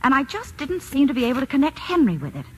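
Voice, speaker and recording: A woman's voice: sits high, very animated, fast, darkish tone. An actress playing an anxious, earnest married woman warning an old friend, in a hesitant, confiding 1940s radio drama style. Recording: hissy vintage radio broadcast.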